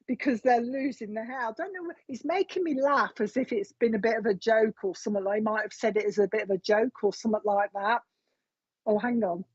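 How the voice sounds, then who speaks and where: Chatty woman, husky. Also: fairly high pitch, darkish tone, dry recording. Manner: chatty, relaying messages with frequent fillers and asides. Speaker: medium giving a reading. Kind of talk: spoken psychic reading. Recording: dull, dry lo-fi sound.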